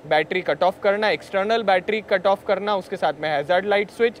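A man's voice: high voice, fairly quick, a little hiss or hum. A man presenting a vehicle walkaround in a chatty way, talking to his viewers, recorded outdoors at an expo. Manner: chatty, addresses viewers as friends. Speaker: vehicle reviewer.

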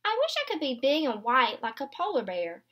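A small, wistful chameleon from a children's storybook, voiced by a woman reading aloud. The voice is high and swings expressively, full of longing. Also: huge pitch swings, a little fast, fairly bright tone, breathy edge, full character voice. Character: small chameleon, wistful, wishing to be other animals.

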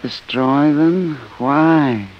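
A man playing a dazed, blissfully contented professor in a 1950s radio drama, with dreamy, drawn-out speech. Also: on the high side. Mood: dreamily happy. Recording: dull 1950s radio recording.

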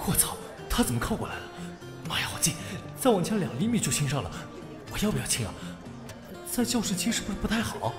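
An actor playing a brash, lovesick teenage schoolboy in an audio drama, grumbling and easily exasperated. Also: male voice, high-pitched, big pitch swings, fast talker, over music. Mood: flustered inner thoughts. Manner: foul-mouthed, grumbling, swinging into outbursts. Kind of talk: modern audio drama acting.

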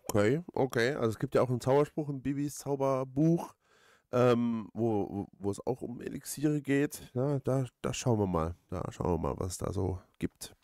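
A man giving casual let's play commentary, haltingly thinking aloud and repeating his words. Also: medium pitch, a little mellow, no room sound. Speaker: let's player. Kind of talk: casual, rambling game commentary.

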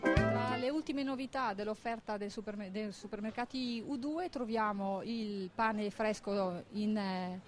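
A woman in her forties, a marketing director, presenting in a measured, businesslike way with frequent hesitations, speaking into a handheld mic inside a supermarket. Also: mid-range voice, loud music behind the voice. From Italy. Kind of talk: corporate presentation.

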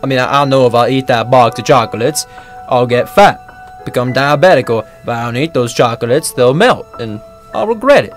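A man's voice: mid-range voice, expressive, brisk, faint music behind, pitched below the performer's own voice, close to an everyday voice. A young man voicing an earnest, simple-minded young man who rambles and stumbles over his words while reasoning aloud.

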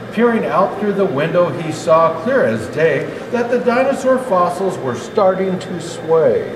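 An older man reading a children's rhyming storybook aloud as Santa Claus, in a measured, expressive delivery. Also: high-pitched. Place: indoor natural history museum hall. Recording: echoey hall, clearly noisy background.

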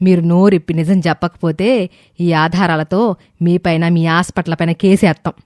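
Woman acting elderly storybook grandmother, suspicious, challenging, blunt manner, lower voice. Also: level tone, fairly quick, a little mellow, no room sound, lightly acted. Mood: stern.

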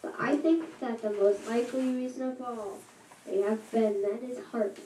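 A woman reading a rhyming story aloud at a school shadow puppet show, slow and rhythmic. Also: on the high side, dark tone, slight background noise. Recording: echoey room from far off.

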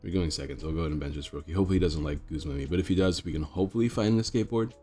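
A man's voice: low-pitched, rapid-fire, mellow, faint music behind. A young man with a stuffed-up nose casually explaining his card deck to viewers, rambling and talking to them as guys. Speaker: card game streamer. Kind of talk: casual deck tech commentary.

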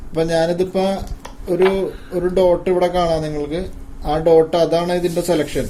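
A man giving an informal hands-on gadget demonstration, haltingly and repeating his phrases. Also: fairly high pitch, fairly even intonation, warm tone, slight background noise. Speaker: tech video presenter.

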